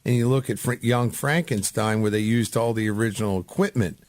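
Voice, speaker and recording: A man's voice: lowish voice, a little fast, a little mellow, dry recording. Rambling man hosting radio, dry sound. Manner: informal, rambling. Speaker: radio show host. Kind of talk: casual radio interview chat.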